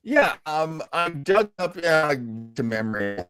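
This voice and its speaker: A man's voice: on the high side, big pitch swings, measured pace, fairly bright tone, smooth, no echo, soft background music. Man chatting on a podcast, dry close sound. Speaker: podcast co-host. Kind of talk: casual fan podcast chat.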